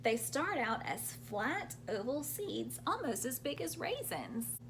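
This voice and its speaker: A woman's voice: high-pitched, very animated, slow pace, creaky, a bit bright, a little hiss or hum. A woman hosting a children's storytime, reading picture books aloud expressively and explaining things simply for young listeners.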